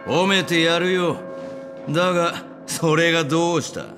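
A man voicing a cynical, rough-edged middle-aged security officer in a video game, talking coarsely with a sardonic drawl. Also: on the high side, measured pace, fairly bright tone, soft background music. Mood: mocking amusement. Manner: coarse casual speech, lazy sardonic drawl. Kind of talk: dramatic video game voice acting.

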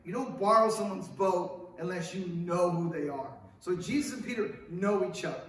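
A man's voice: high voice, moderate pace. A middle-aged man from California preaching a conversational sermon at a lectern, gesturing widely and asking the congregation questions. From Hollywood, California. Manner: animated, wide hand gestures, asks the congregation questions. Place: church sanctuary with stone wall, at a lectern. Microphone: stand mic at the lectern, camera far off. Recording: very echoey sanctuary from far off.

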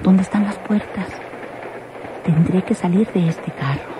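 A woman's voice: mid-range voice, measured pace, close to an everyday voice. An actress playing a young woman train passenger, polite but anxious, with a Mexican accent in a radio soap opera. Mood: puzzled, musing. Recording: noisy old radio drama recording.

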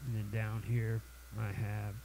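A man with a cold hosting a casual software tutorial, halting and full of fillers and restarts. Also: fairly low pitch, level tone, gravelly, noisy background. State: has a cold, sniffly. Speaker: tutorial channel host.

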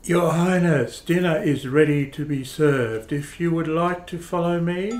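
Man playing a high-voiced formal vampire household servant. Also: measured pace, darkish tone, close to an everyday voice. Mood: courteous. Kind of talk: amateur radio play acting. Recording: echoey room, faint noise.

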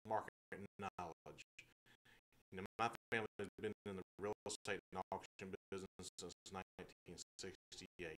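A middle-aged man explaining his real estate and auction business on a local talk show, speaking in a slow, halting way with fillers, recorded on a table microphone in a small meeting room. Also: sits low, fairly bright tone, slight background noise, rough recording. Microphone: table microphones, far camera.

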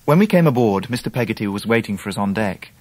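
An actor playing a reflective English gentleman, narrating his life in a radio drama in a measured, sombre way. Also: fairly low pitch, lively intonation, no echo. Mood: composed. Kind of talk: dramatised first-person narration.

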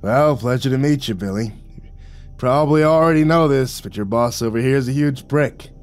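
A man voicing a croaky, friendly, teasing gangster smoker in an audiobook. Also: mid-range voice, normal speed, natural voice. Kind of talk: audiobook character voice. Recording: music behind the voice.

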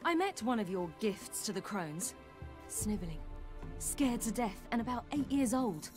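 A woman's voice: a little high, big pitch swings, a little slow, music in the background. A woman playing a headstrong, defiant young warrior in a fantasy game, direct and sardonic. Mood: angry. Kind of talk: fantasy video game acting.

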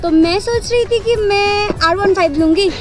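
A young woman bantering outdoors on an empty highway in a sassy, contrary way, recorded on someone else's action camera. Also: moderate pace, over loud music. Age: young adult. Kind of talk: casual vlog banter.

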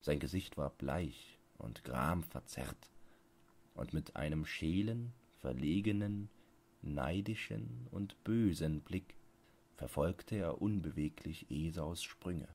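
Slow, low-voiced male audiobook narrator. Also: a little mellow, breathy edge. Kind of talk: literary scripted narration, bedtime audiobook.

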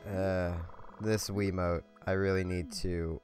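Young man, hoarse scratchy voice, low-pitched, rambling gameplay commentary. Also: level tone, takes it slowly, a little mellow. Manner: rambling, chatty. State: hoarse, scratchy from a sore throat. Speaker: let's play host. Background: faint game music.

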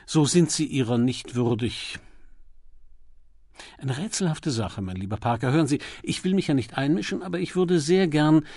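A man voicing an urbane, teasing aristocratic gentleman detective in an audiobook crime story. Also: fairly high pitch, expressive, normal speed, no echo, studio quality. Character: witty aristocratic gentleman sleuth, keen and teasing.

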